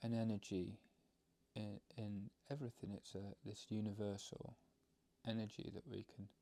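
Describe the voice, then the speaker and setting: A man leading a guided meditation, explaining it gently in halting, unscripted phrases. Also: on the low side, little pitch movement, vocal fry. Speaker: meditation teacher.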